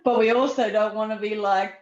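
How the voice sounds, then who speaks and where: A woman with an Australian accent softened by British, chatting candidly and informally as a podcast guest. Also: medium pitch, steady tone, brisk. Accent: Australian, slightly blended with British. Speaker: podcast guest, personal trainer. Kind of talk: casual podcast chat. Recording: echoey, slightly dull audio.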